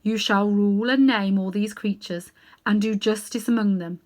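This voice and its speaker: Woman doing a grave kind lion, slow and commanding, storybook style. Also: medium pitch, steady tone, darkish tone, lightly acted. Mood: solemn. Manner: solemn, measured, commanding.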